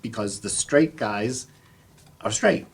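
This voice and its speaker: A man speaking as a theater panelist, sharing personal anecdotes in a halting way, often repeating words. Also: medium pitch, sing-song. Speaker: panelist, community theater actor. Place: public panel event, live audience. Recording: clear background room noise.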